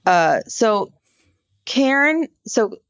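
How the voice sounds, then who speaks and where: A woman co-hosting a podcast, explaining a case conversationally in an informal, halting storytelling way. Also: a little high, sing-song, smooth. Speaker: podcast co-host. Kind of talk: conversational true-crime case discussion.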